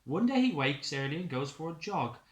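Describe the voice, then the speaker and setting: A male teacher with a slightly high voice reads a picture book for young children. He is expressive and explains things simply. The room is noticeably echoey. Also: brisk. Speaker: early years class teacher.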